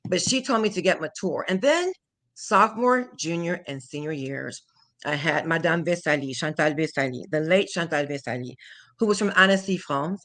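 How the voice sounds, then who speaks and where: Woman in her forties, clear bright tone, lively storytelling, drops into French now and then. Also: sits low. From Houston, Texas. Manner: animated, chatty, laughs often, switches into French. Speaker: guest, language teacher. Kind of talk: casual interview chat.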